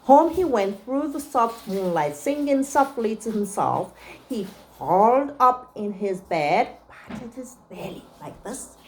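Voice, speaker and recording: A woman reading a picture book aloud to young children as a teacher, pausing to check in with her listeners. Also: on the high side, lively intonation, darkish tone, slight background noise, low-quality recording.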